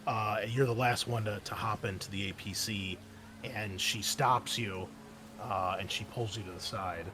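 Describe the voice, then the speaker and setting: A man's voice: lowish voice, fairly even intonation, unhurried, noisy background. A man running a tabletop game as game master, narrating casually with many fillers.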